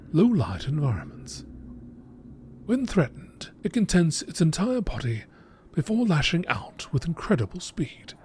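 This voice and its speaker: A man reading game lore entries as scripted nature documentary narration, in a measured, formal, deliberate manner. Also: a little high, a little mellow, slight background noise.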